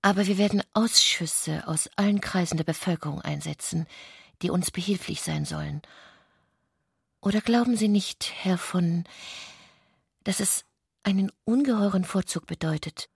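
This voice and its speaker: Give the part of a self-important, idealistic society lady in a literary radio play. Her voice is low and husky, with some creak. She speaks formally and earnestly, with lofty conviction, in a dry, close recording. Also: moderate pace, no room sound, exaggerated voice. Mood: earnest, solemn. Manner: earnest, high-minded, formal speech.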